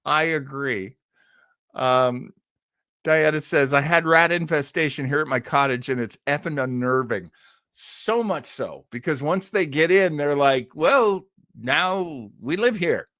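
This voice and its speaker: A man hosting a live show in casual chat, reading out and answering listener comments in a halting, rambling way with jokey asides. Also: fairly high pitch, expressive, pushed and bright, no room sound, clean studio sound.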